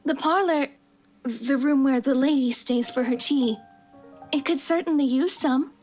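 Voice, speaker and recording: A woman's voice: high-pitched, a little slow, a little mellow, faint music behind. A woman voicing a timid young female servant in a visual novel, hesitant, polite and deferential. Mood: shy, polite.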